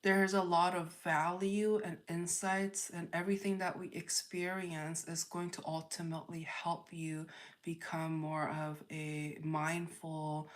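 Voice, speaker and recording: A woman hosting a live tarot reading, speaking off the cuff in a halting way, thinking aloud and repeating phrases as she chats with viewers. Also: on the low side. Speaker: tarot reader, livestream host. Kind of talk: spontaneous spiritual reading, chatting with live viewers.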